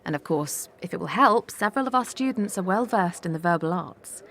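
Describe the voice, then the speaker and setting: A woman's voice: lowish voice, animated, fairly bright tone. A woman playing a gracious succubus hostess. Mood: composed. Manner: formal, measured, gracious. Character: poised, courteous succubus brothel mistress.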